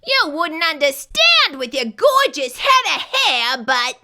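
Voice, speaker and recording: A woman's voice: sits high, big pitch swings, slow, pushed and bright, heavily acted. A woman voicing a grumpy, short-tempered storybook character, scolding dramatically as in a children's read-aloud. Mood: exasperated.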